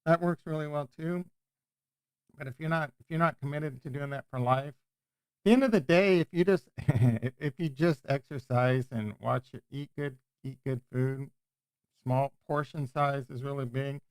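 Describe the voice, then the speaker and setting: Casual male streamer, smooth clear voice, dry close mic, rambling chat. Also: mid-range voice, normal speed, darkish tone, dry recording. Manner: rambling, self-correcting, chatty. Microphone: new desk microphone.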